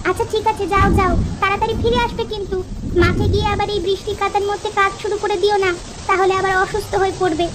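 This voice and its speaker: A woman's voice: extremely high pitch, little pitch movement, quick pace, noisy background. A woman voicing a bossy but caring village housewife in a children's cartoon, fussing in a homely way. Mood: nagging, caring. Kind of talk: children's moral-story cartoon dubbing.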